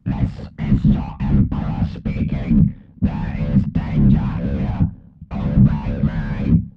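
A man's voice: completely flat delivery, slow pace, vocal fry, phone-quality sound, music in the background, exaggerated voice. A man voicing an imperious machine emperor, ponderous and commanding, through a ring-modulated robotic effect for a sci-fi voice reel. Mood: stern command. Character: Dalek Emperor, vast imperious machine ruler. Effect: booming ring-modulated robotic voice.

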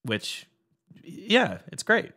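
A man's voice: sits high, huge pitch swings, very slow, sharp tone. A man in his thirties co-hosting a podcast, cracking jokes and laughing a lot between short replies. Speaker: podcast co-host. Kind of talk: casual chat. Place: home room with wall AC unit. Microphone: studio mic on boom with headphones.

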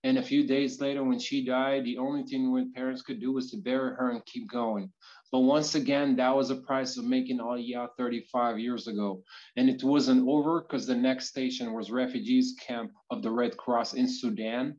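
Even-toned middle-aged man. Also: medium pitch, normal speed. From born in Ethiopia, moved to Israel. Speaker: guest speaker. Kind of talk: personal life story narration. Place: indoors, on video call. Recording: slightly dull video call audio.

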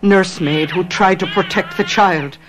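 Actress as low-voiced, sensible housekeeper. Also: female voice, background noise, close to an everyday voice. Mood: grave. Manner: measured, matter-of-fact. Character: sensible, plain-spoken housekeeper and confidante, older than her mistress. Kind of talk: radio drama acting and storytelling.

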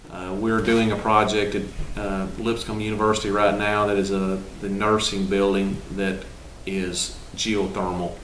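Low flat-voiced middle-aged man, roomy. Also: far from the mic, slight background noise. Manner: measured, halting, plain-spoken. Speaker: business partner. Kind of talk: interview explanation. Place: indoor room with red backdrop. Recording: roomy echo, from the mic.

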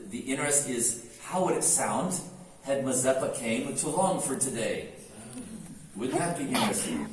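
An earnest American man with a fairly low voice introduces a historic song to a live crowd, pausing often with "uh". He sounds distant from the mic, and the room echoes heavily around him. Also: a little mellow. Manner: earnest, many "uh" pauses, mixing languages. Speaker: torban player and singer. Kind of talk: live talk to an audience before a performance.

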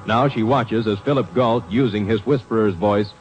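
A man's voice: on the low side, moderate pace, lo-fi sound. An American man announcing and narrating a 1950s radio drama in a dramatic scripted style. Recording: old radio broadcast recording. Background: music.

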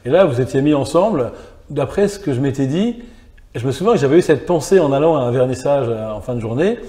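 Thoughtful middle-aged philosopher, mellow voice. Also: male voice, medium pitch, lively intonation, fast talker. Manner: thoughtful, builds ideas in long clauses. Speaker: philosopher and author, guest. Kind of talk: reflective conversational interview. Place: small gallery salon, indoors, on a sofa.

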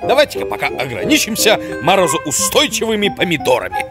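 Cautious, animated learned cartoon bird. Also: male voice, high voice, brisk, vocal fry, loud music behind the voice, heavily acted.

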